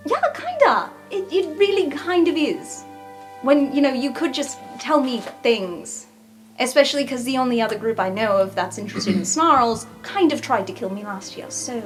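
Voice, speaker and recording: A woman plays her character in a tabletop roleplay with a casual, wry delivery. Her voice is on the higher side, with faint music behind it. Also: expressive, normal speed. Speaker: tabletop player speaking in character.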